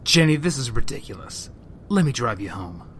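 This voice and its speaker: Casting: glowering lawman in radio drama, mid-range male voice, curt and dismissive, light rumbling noise behind. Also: sing-song, moderate pace. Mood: irritated. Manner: brusque, dismissive. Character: glowering, defensive male police lieutenant. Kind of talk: audio drama dialogue.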